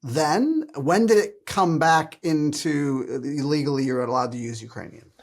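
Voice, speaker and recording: A middle-aged man hosting an interview, asking questions in an unhurried, tentative way over a video call. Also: a little high. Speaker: host.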